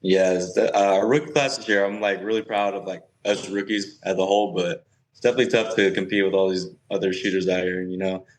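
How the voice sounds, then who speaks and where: A man's voice: deep voice, fairly even intonation, quick pace, slight background noise, rough recording. A man speaking casually as a guest player being interviewed on a podcast.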